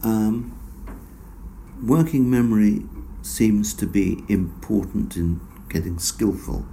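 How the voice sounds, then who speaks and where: Deep gravelly man explaining research. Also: warm tone, a little hiss or hum. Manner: measured, explanatory, many fillers. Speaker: researcher guest. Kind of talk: academic interview answers.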